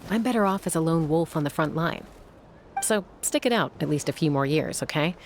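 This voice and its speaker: A woman's voice: low-pitched, animated, brisk, slight background noise. An actress playing a cool, self-assured young warrior woman in a video game, speaking in a composed, frank way. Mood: frank, respectful. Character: cool, self-assured female warrior, lone-wolf peacemaker. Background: music.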